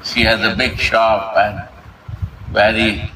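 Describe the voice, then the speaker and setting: A man speaks slowly in broken, halting English, often restarting his sentences as he introduces visitors. His voice sits at a middle pitch. Steady noise runs behind him. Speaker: ashram host introducing visitors. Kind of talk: casual conversation. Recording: noisy background.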